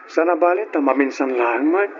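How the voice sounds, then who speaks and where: An actor playing an easygoing, devoted young Filipino boyfriend of modest means in a radio drama. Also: male voice, fairly high pitch, lively intonation, normal speed, natural voice. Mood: easygoing, sheepish. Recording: muffled, slightly noisy old radio recording.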